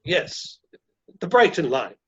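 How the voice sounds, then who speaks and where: A man playing a polite, flustered gentleman suitor in a comic play reading, hesitant, formal and apologetic. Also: fairly high pitch, big pitch swings, takes it slowly, breathy edge, highs cut off, exaggerated voice. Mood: earnest, uneasy.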